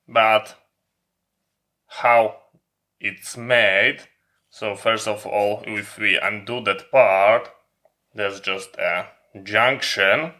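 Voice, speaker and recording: A man giving an informal hands-on product demonstration as a hobby vlogger, explaining in deliberate, halting phrases with emphatic pauses. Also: lowish voice, bright, forceful tone.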